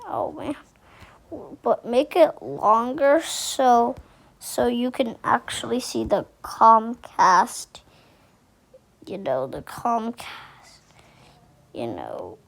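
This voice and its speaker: Halting higher-voiced woman, gravelly, giving a tutorial. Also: big pitch swings. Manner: halting, repetitive, thinking aloud while demonstrating. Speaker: tutorial host. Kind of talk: casual screen-recorded how-to tutorial.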